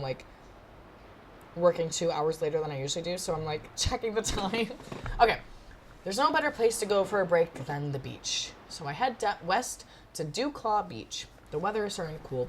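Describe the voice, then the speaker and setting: A woman's voice: fairly low pitch, slight background noise. A woman streaming a visual novel, reading narration expressively and breaking off into playful, gushing, casual reactions.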